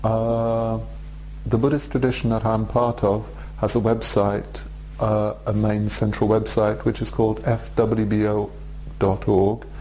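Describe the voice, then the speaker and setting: Man in his forties with an English accent, flat warm voice, measured and hesitant, faint hum behind. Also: on the low side, low-quality recording. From Russia, raised in England. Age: 40s. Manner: measured, thoughtful, many hesitations. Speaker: Buddhist teacher. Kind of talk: direct-to-camera video address.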